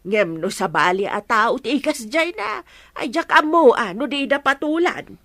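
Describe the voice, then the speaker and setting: A woman's voice: a little high, expressive, moderate pace, a bit bright, no room sound, studio quality. A woman playing a nagging, questioning village wife in an Ilocano comedy radio drama, with a Filipino accent.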